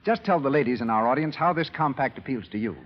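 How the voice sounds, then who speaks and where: A man's voice: mid-range voice, lively intonation, fast talker. An American man working as a radio announcer in 1930s style, reading scripted advertising in a formal, carefully enunciated way. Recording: dull vintage 1930s radio broadcast recording, reverberant.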